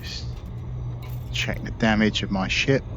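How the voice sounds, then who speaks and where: A man hosting a gaming video with casual, relaxed, explanatory commentary. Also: on the low side, unhurried. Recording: slightly dull audio, faint game noise.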